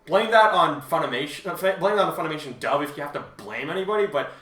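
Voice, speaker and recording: A man's voice: on the high side, animated, quick pace, a bit bright. A man chatting casually as a co-host, explaining himself in an emphatic, defensive, rambling way. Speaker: fan fiction author and co-host. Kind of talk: casual conversational commentary on listener reviews. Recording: roomy, echoey home recording, distant mic.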